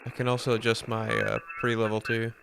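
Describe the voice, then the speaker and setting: A man's voice: medium pitch, completely flat delivery, fairly quick, creaky. A man on a ham radio call, speaking plainly while he adjusts his audio gear. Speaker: radio caller. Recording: noisy radio signal.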